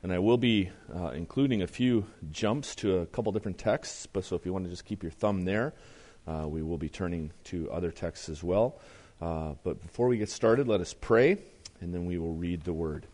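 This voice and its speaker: A man preaching a sermon as pastor, his voice strained and deeper than usual, reading scripture with earnest, rising emphasis. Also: normal speed, darkish tone.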